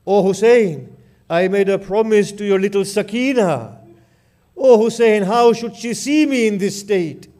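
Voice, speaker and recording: A man's voice: high-pitched, measured pace, mellow. A man playing a dying young warrior who speaks in faint, sorrowful pleas, within a recited mourning story. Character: dying young male warrior, loyal brother.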